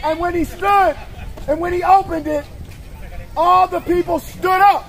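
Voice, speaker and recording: Man, extremely high raised voice, loud scripture reading, outdoors, people around. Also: fairly even intonation, measured pace, some chatter behind, low-quality recording. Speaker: scripture reader for street preacher. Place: outdoors at a waterfront park walkway.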